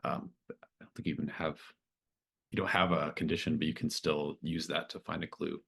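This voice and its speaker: A man's voice: extremely low pitch, level tone, a little fast, vocal fry, a bit bright, husky. A man running a tabletop roleplaying game as game master, talking casually and explaining things in an encouraging way.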